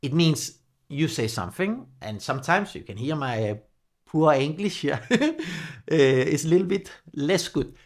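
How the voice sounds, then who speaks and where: Danish-accented man with a lively voice. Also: fairly high pitch. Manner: animated, gesturing, laughs often, halting non-native phrasing. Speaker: numerology coach. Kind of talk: casual talking-head explainer. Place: small home studio indoors. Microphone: large studio mic on boom arm.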